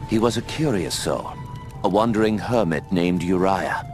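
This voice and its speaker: Solemn game storyteller, very low pitch, scripted legend narration, music underneath. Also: male voice, animated, moderate pace.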